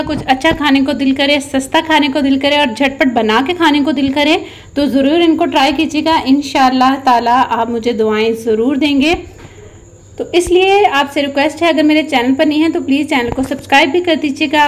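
A woman narrating a home cooking recipe as a channel host, instructive and sprinkling in religious blessings. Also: high voice. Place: home kitchen.

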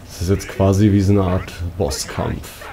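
A man with a slightly husky voice giving casual commentary as a let's play host. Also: low voice, fairly even intonation, moderate pace, a crowd in the background.

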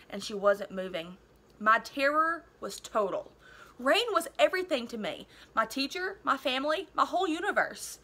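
Woman, thirties, teacher doing expressive novel read-aloud. High, bright voice, at home. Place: living room at home.

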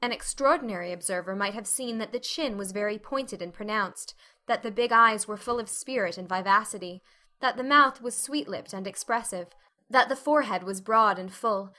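A woman's voice: medium pitch, moderate pace, pushed and bright. A woman reading a classic novel aloud as an audiobook narrator, in a scripted, dramatic storytelling style.

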